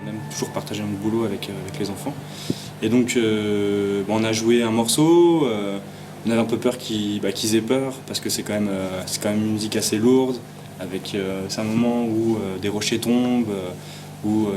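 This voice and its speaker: A man's voice: on the low side, fairly even intonation, brisk. A man talking casually in an interview as a musician, informal and a bit hesitant. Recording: clearly noisy ambient background.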